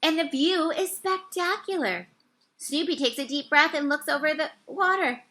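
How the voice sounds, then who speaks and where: A woman reading a children's story aloud, with expressive and carefully phrased narration. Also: sits high, normal speed. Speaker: storybook reader.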